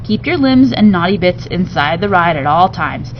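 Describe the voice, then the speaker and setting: Storybook male med tech read by a woman, instructive and joking, deadpan delivery; slightly noisy, lo-fi sound. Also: fairly low pitch, moderate pace, close to an everyday voice. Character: joking, brisk male radiation technician giving instructions. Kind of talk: children's novel read aloud.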